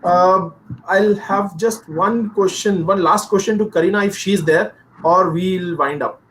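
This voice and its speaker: High-pitched guy moderating a webinar, short direct questions over a video call. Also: unhurried, a bit bright, a little hiss or hum, rough recording.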